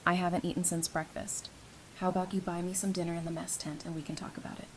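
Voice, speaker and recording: A woman's voice: on the low side, level tone, fast talker, a little hiss or hum, lightly acted, low-quality recording. A woman playing a tough, caring survivor with a familiar, teasing way of talking in a fan audio drama. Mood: teasing, friendly.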